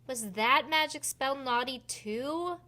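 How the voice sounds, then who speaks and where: A woman's voice: high voice, slow pace, bright, forceful tone, pitched above the performer's own voice, heavily acted. A woman voicing a naughty little girl fairy in a picture book, puzzled and innocent, full of questions. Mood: sheepish.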